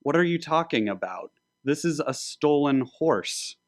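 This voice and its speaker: A man's voice: on the high side, animated, measured pace, pitched above the performer's own voice. A man playing an ardent soldier in a biblical story, urgently pleading with his secret male lover.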